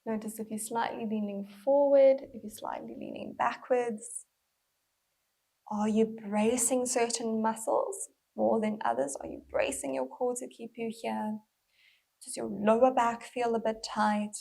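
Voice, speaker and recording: A woman leading a guided body scan as a mindful movement instructor, speaking gently and unhurriedly with pauses, in a breathy, slightly husky voice. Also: fairly high pitch, steady tone.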